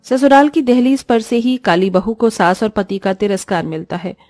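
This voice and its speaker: A woman narrates a dramatic cartoon story from a script. Her voice is medium in pitch, recorded dry, with faint music running behind it. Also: lively intonation, normal speed, a little mellow, dry recording.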